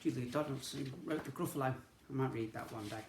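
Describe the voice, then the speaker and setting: A male teacher reading a picture book aloud to young children, gently, with asides to them. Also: medium pitch, brisk, echoey room, noisy background.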